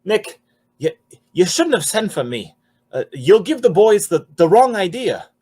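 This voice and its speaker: A man's voice: sits high, very animated, unhurried, dark tone, slightly rough, heavily acted. A man playing a nervous, cringing underworld informant in 1940s radio style, stammering and whining in slang. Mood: frightened.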